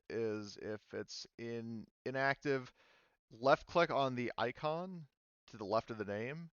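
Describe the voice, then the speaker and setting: A man narrating a tabletop roleplaying game as game master, explaining things in a halting way. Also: mid-range voice, fairly bright tone, smooth, dry recording, highs cut off.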